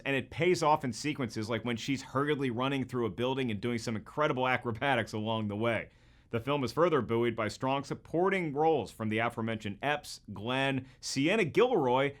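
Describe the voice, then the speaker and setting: A man's voice: mid-range voice, fairly bright tone. A man hosting a scripted video commentary in a jokey, colloquial and emphatic way. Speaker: host.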